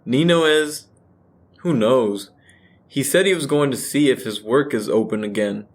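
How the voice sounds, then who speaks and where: A man's voice: medium pitch, a little slow. A man acting in a radio play as an easygoing, gossipy housemate, talking casually and matter-of-factly in natural dialogue.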